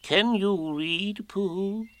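Storybook owl, voiced by a male reader: self-important, slightly anxious, very slow and halting, pitched well up. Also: fairly bright tone, dry recording, full character voice. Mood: anxious, hesitant. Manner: deliberate, halting, pompous. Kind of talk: children's storybook character voice.

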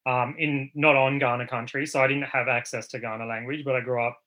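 A man's voice: mid-range voice, little pitch movement, fairly quick, pushed and bright. A man from Australia speaking as an artist guest in a casual panel talk, thoughtful and given to fillers and restarts.

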